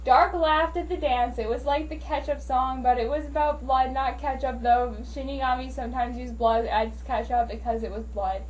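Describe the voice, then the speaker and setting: A woman's voice: high voice, fairly even intonation, normal speed, few pauses, a little mellow, distant mic, slight background noise, poor audio. A woman giving a dramatic reading of a story as an amateur narrator. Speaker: amateur reader of fan fiction.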